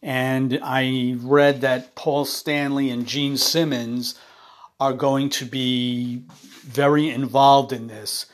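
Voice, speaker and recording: A man hosting his own podcast while ill, his voice raspy, talking haltingly with many ums and uhs into a phone. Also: medium pitch, flat delivery. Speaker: podcast host. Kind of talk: casual solo podcast monologue.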